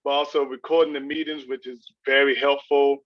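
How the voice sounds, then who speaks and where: A man's voice: medium pitch, level tone, brisk, no echo. A young man, a student, chatting casually and explaining in an informal, repetitive way. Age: young adult. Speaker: student writer's assistant. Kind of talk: casual chat. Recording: dull, dry lo-fi microphone.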